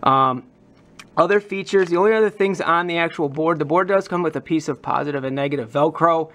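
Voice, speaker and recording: A man with a slightly high voice walks viewers through a hobby modification. He speaks off the cuff, thinking aloud as he works through each step in a casual tutorial style. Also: a little fast, fairly bright tone. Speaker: hobbyist demonstrating a prop modification.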